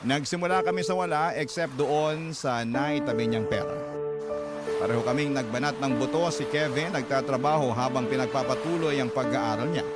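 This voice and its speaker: A man reading a letter as dramatic first-person narration, earnest and emotive, with measured pauses. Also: fairly high pitch. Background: prominent background music.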